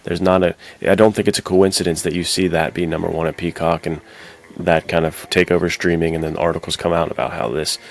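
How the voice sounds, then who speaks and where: A man chatting casually and informally as a podcast co-host, somewhat halting as he finds his words. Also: deep voice, steady tone, vocal fry. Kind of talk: casual chat.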